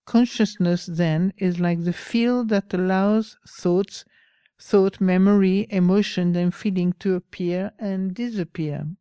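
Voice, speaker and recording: A woman with a French accent giving a slow, pausing dharma talk as a meditation teacher. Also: lowish voice, fairly even intonation, warm tone, smooth, dry recording, clean studio sound.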